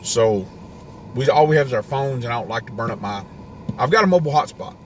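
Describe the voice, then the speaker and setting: A man's voice: medium pitch, animated, quick pace, slight background noise. A man hosting a homesteading live stream from inside a parked car, rambling in a casual rant with a worn voice. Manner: ranting, rambling with restarts. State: voice getting worn.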